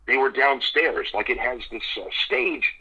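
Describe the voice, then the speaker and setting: Male guest storyteller, animated, laughs mid-sentence, slightly husky, dull phone-line sound. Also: mid-range voice, moderate pace. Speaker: impressionist guest. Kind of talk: casual radio interview.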